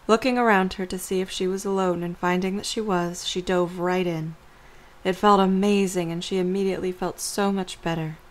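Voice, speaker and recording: A woman serves as narrator of a story. Her pitch is on the low side for a woman. The delivery is scripted storytelling, read as prose. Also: steady tone, moderate pace.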